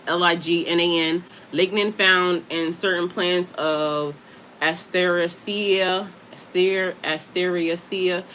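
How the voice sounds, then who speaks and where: Bright, chatty woman livestream host. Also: fairly low pitch, a little hiss or hum, rough recording. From Delaware, USA. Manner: chatty, addresses viewers directly, stumbles over and spells out hard words. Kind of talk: casual livestream chat, reading aloud from a website.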